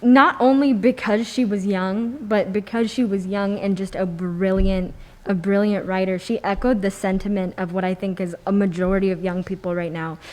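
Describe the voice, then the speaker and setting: Young woman, medium pitch, thoughtful. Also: steady tone, moderate pace. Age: young adult. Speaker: podcast panelist. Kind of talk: thoughtful discussion.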